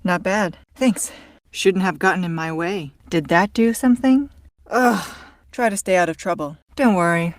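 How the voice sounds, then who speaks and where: A woman's voice: on the low side, normal speed, no echo, lightly acted. An actress voicing a cool, sardonic female secret agent in a video game, with clipped, dry quips. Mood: pained.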